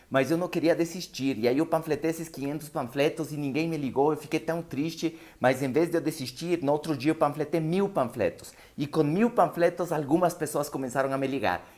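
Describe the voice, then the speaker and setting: A man whose first language is Spanish, with a Spanish-speaking accent, giving a live motivational seminar talk as an emphatic storyteller who keeps checking in with his listeners. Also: medium pitch.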